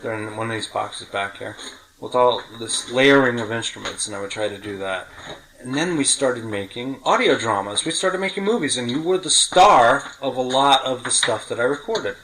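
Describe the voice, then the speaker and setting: A man's voice: mid-range voice, lively intonation, moderate pace, a bit bright, poor audio. A middle-aged man hosting a podcast, reminiscing casually in detail and correcting himself as he goes. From New York City. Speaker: podcast host.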